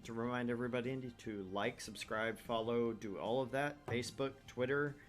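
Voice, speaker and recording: A man hosts a gaming livestream in a mid-pitched voice. He chats casually to viewers, rambling and halting as he explains things. Faint music plays behind him. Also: fairly even intonation. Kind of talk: casual stream chat and promotion. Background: background music.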